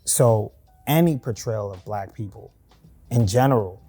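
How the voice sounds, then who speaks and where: A man's voice: fairly low pitch, measured pace, mellow, husky. Man, heavy new york accent, young adult, casual talk-show panelist, faint music under the voice. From Canarsie, Brooklyn, New York. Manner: conversational, frequent "right?" check-ins. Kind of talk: casual discussion.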